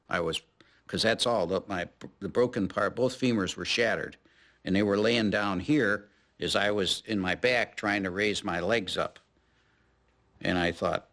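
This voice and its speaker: Slow, low-voiced elderly man. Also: fairly bright tone. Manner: halting, plain-spoken. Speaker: war veteran interviewee. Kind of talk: recollection in an interview.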